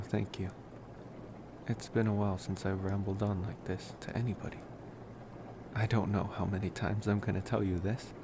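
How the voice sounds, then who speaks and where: A man playing a gentle, wistful college vampire boyfriend in an intimate ASMR roleplay, rambling hesitantly in a soft-spoken way. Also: fairly low pitch, background noise, natural voice. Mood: wry, amused.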